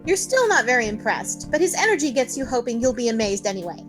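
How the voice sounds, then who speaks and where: A woman's voice: a little high, big pitch swings, fast talker, over music, low-quality recording. A woman co-hosting a livestreamed game read-along, chatting and reading out the narration. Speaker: co-host reading narration and player lines.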